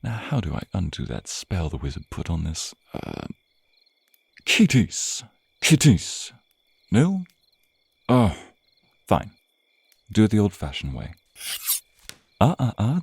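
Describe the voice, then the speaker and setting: A man's voice: lowish voice, huge pitch swings, slow, vocal fry, pauses often, darkish tone, slightly rough, no echo, exaggerated voice. A man voicing a suave, predatory vampire in an intimate ASMR roleplay, with a teasing, possessive, theatrical drawl and murmured asides. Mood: playful, possessive.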